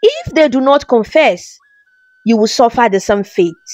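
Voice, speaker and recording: A woman's voice: fairly high pitch, sing-song, a little slow, a little mellow, no echo, dull audio, faint music behind. A woman reading as a sorrowful ghost girl. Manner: sorrowful, accusing.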